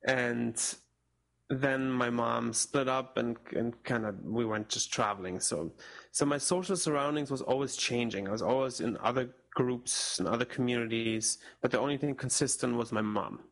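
A young man from Spain, raised in Portugal, whose first language is German, speaking as an interview guest in a reflective, halting way with frequent restarts. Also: medium pitch, gravelly, fairly bright tone. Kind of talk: casual interview talk.

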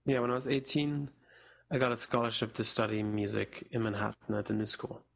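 Young male guest, German native, gravelly voice, thoughtful and hesitant, restarts a lot. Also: lowish voice, fairly bright tone. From Spain, raised in Portugal. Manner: reflective, halting with restarts. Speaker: interview guest, musician. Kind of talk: casual interview talk.

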